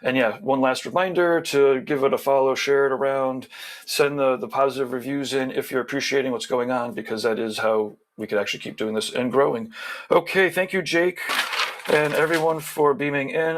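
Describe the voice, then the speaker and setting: A man's voice: medium pitch, steady tone, moderate pace. A man on a video call who runs the show, reading out sponsor plugs in a rambling, casual way with many restarts. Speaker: show organizer.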